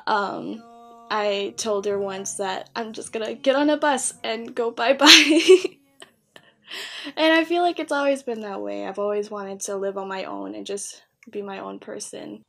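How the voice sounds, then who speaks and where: A woman's voice: medium pitch, measured pace, gravelly. A young woman talking candidly in a casual personal vlog, rambling a little and poking fun at herself. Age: young adult. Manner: candid, self-deprecating, rambling. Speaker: YouTube vlogger. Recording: background guitar music. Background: soft guitar music.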